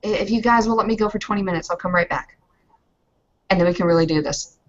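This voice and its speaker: A woman's voice: medium pitch, fast talker, lo-fi sound. A woman improvising casually as a player in a tabletop roleplaying game, a bit tentative in how she talks.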